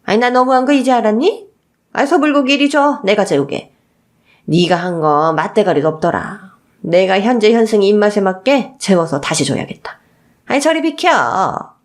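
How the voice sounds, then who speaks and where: A woman's voice: medium pitch, animated, moderate pace, lightly acted. A woman voicing a spiteful old mother-in-law who scolds and belittles with exasperated exclamations in a radio story drama. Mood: brazen, irritated. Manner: scolding, contemptuous, sighing exclamations.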